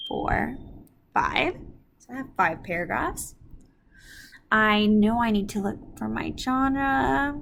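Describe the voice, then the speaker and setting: A woman's voice: mid-range voice, vocal fry, fairly bright tone, slight background noise. A woman teacher leading a read-aloud reading lesson for children, explaining things step by step in an instructive way. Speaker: elementary school teacher.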